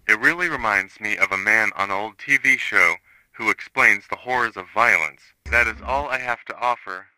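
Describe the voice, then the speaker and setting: Analog horror narrator: male actor as grim retired investigator, formal report delivery, hard bright edge, dry close recording. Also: on the low side, dry recording, poor audio.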